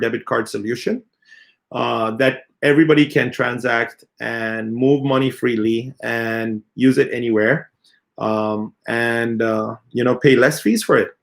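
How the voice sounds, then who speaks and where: A man in his thirties, a company CEO, giving a halting, earnest unscripted answer full of filler sounds. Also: mid-range voice, vocal fry. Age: 30s. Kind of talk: unscripted spoken answer in an AMA.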